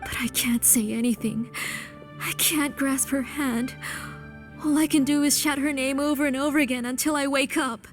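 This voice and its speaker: Woman acting desperate grieving mother, high voice. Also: fairly even intonation, music in the background, close to an everyday voice. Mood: broken grief. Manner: pleading, halting, anguished. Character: grief-stricken, desperate mother in denial. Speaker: voice actor. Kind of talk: dramatic video game dialogue.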